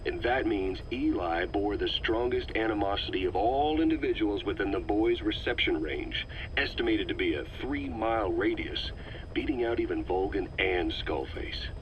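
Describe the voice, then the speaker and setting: An actor playing a calm, middle-aged male agent who methodically explains a conspiracy to the listener on a cassette tape. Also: sits low, normal speed, a little hiss or hum, close to an everyday voice. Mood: measured. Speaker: intelligence briefer on a cassette tape. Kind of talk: scripted game voice acting. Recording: slightly muffled cassette tape recording.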